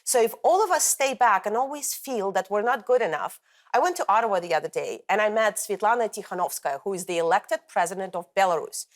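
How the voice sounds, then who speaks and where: A woman's voice: medium pitch, fast. Passionate woman guest from former Soviet Union. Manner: passionate, animated storytelling. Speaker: interview guest, corporate coaching leader. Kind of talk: conversational interview.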